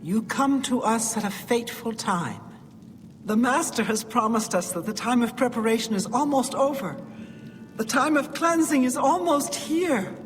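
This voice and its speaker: An actor playing a fervent cultist in a video game, speaking in a zealous, declamatory ritual manner. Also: male voice, very high voice, animated, moderate pace. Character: fervent male cultist presiding over a blood rite. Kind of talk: video game character acting. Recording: long hall reverb, faint music behind.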